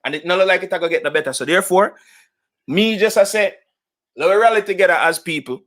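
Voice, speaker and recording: Jamaican man, high voice, fast talker, rousing, asks rhetorical questions. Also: fairly bright tone. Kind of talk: impassioned call to action.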